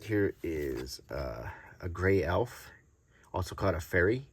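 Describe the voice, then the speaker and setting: A man presenting collectible cards in a casual, unscripted show-and-tell, halting and pausing often as he describes things. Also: low-pitched, lively intonation, slight background noise. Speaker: host presenting vintage collectible game cards.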